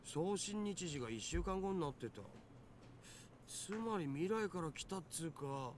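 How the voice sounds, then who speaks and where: A man's voice: on the high side, expressive, fairly quick, slight background noise, close to an everyday voice. An actor playing a casual, geeky young male hacker sidekick in a visual novel, with slangy, laid-back phrasing. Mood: casual, puzzled. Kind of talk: Japanese visual novel voice acting.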